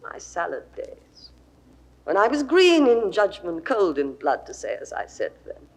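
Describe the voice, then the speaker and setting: A woman's voice: medium pitch, huge pitch swings, a little slow. An actress playing a passionate, imperious, volatile queen of Egypt in a theatrical 1960s Shakespeare audio drama, declaiming verse grandly. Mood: fierce, imperious.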